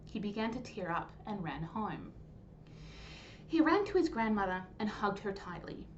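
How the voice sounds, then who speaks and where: A woman hosting a storytime, reading a children's story aloud in an animated, clearly phrased way. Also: mid-range voice, normal speed. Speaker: storytime host and reader. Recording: dull lo-fi sound, faint noise.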